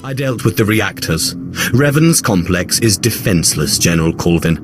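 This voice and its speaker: An actor playing a haughty, self-assured dark lord in a video game, composed and dry. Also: male voice, fairly low pitch, lively intonation, normal speed, music in the background, close to an everyday voice. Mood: smug, composed. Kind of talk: video game cutscene acting.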